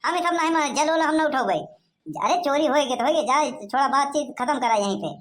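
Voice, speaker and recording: Woman acting high-voiced sly village lad. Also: fairly quick, natural voice. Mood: evasive. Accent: Awadhi, eastern Uttar Pradesh. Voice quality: high-pitched cartoon voice. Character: sly, cheeky young village lad, evasive and whiny.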